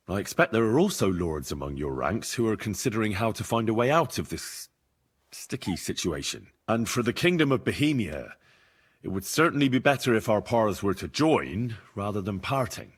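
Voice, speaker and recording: A man playing a tactful young man-at-arms in a video game, with a husky voice and a plain-spoken, diplomatic manner. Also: on the low side, animated, normal speed. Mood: tactful, reasoning. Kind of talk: video game cutscene acting.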